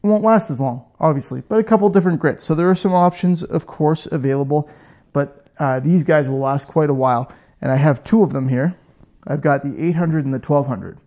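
A man's voice: on the high side, fairly quick, dark tone. A man in his thirties hosting a casual woodworking demo in his garage workshop, chatty and self-joking, on a lapel mic. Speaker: woodworking channel host.

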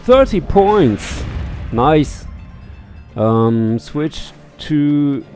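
A mellow-voiced man streams a video game from home, talking at a slow pace. He mutters to himself, reads on-screen text aloud and swears casually. Faint game music plays behind him. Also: a little high, big pitch swings. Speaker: let's play streamer. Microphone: headset.

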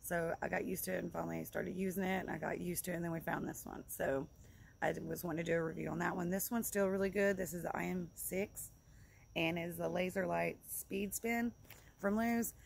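A woman's voice: fairly low pitch, steady tone, fairly quick. A woman in her thirties giving a casual, rambling product review to camera outdoors, in an informal way that often repeats itself. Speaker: vlogger reviewing fishing gear. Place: outdoors in a leafy yard by a log building. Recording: clear outdoor background noise.

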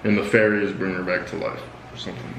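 A man's voice: low-pitched, flat delivery, quick pace, fairly bright tone. A young adult man improvising guesses as a guest in a casual chat, recorded at home a short way from the camera. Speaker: host's boyfriend, guest guessing along. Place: indoor room at home. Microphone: camera a short distance away. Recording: echoey room with faint background noise.

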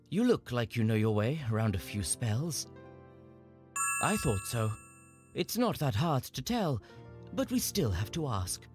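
A man playing a brisk, formal customs official in a fantasy game dub. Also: mid-range voice. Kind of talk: video game character dub.

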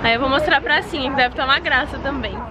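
A woman's voice: sits high, quick pace, loud music behind the voice. A young woman in her twenties chatting bubbly and laughing as she vlogs, holding the camera at arm's length on a town street and in a church hall. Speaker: vlogger. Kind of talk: casual vlog chat. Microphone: handheld camera at arm's length. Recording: loud crowd and street noise. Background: crowd chatter and street noise.

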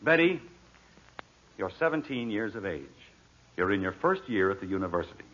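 A man's voice: fairly low pitch, very animated, normal speed, fairly bright tone, dull audio. An actor playing a wry, exasperated middle-aged father, sarcastic and authoritative, in a 1940s radio comedy on a vintage recording.

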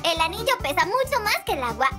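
A woman's voice: extremely high pitch, big pitch swings, fast, over music. A woman voicing a tiny, confident, sassy little girl sprite in a dubbed children's cartoon, explaining things.

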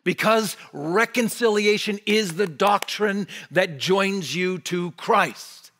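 High-voiced preacher, sweeping from loud to whispered. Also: male voice, takes it slowly. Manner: animated preaching with wide gestures, dropping to near-whispers on key words. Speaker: pastor. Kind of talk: sermon. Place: church stage behind a wooden pulpit.

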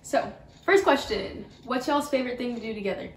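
A woman of 22 hosting a casual Q&A on her vlog, sitting on a living room couch and teasing her mother with quick asides. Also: on the high side. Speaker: YouTube vlogger, host. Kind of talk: casual Q&A chat. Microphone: camera mic, from a distance. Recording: roomy, a bit distant.

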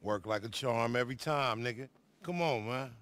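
A man's voice: medium pitch, moderate pace, a bit bright, lightly acted. A man playing a boastful, foul-mouthed young hustler in a video game cutscene, with an American accent and slangy, cajoling banter. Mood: easygoing, persuasive.

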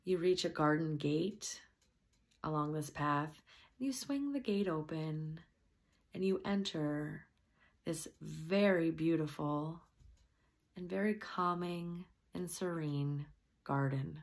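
Slow, low-pitched woman guiding meditation softly. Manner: soft, gentle, breathy near-whisper at times. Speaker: guided meditation host. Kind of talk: softly spoken guided meditation.

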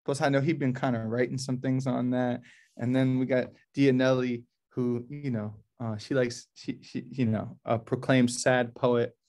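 A man in his thirties from New Orleans giving a casual, slangy, halting introduction on a video call from a small office. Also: medium pitch, little pitch movement, darkish tone. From New Orleans, USA. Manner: laid-back, halting, slangy. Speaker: poetry reading curator. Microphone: video call, computer at arm's length.

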